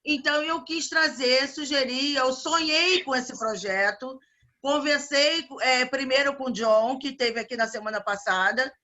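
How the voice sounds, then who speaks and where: A woman's voice: sits high, unhurried. A woman speaking casually in an online spiritual meeting, an organizer who talks with frequent restarts. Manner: warm religious farewells, many restarts. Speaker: event organizer and evangelizer. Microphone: video call.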